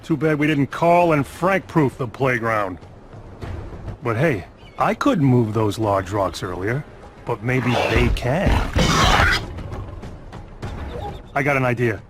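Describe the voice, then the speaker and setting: A man voicing an eager, anxious expectant father mammoth in an animated game, with a wry, exclamatory delivery. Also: mid-range voice, measured pace, loud music behind the voice, lightly acted. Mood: scheming, upbeat.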